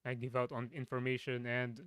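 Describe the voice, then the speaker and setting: A man from the Philippines, a streamer with a low voice, chatting casually from his home setup, rambling and restarting his sentences. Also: completely flat delivery, a little fast, a bit bright, clean tone, no room sound, studio quality. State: voice tiring.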